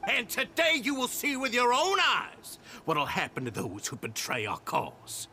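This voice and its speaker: Sharp, furious tyrant gang boss. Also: male voice, very high voice, wildly swooping pitch, a little slow, slight background noise, full character voice. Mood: furious, menacing. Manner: ranting, menacing, grandiose. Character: tyrannical, furious male prison gang boss, cruel and self-righteous. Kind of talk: theatrical video game voice acting.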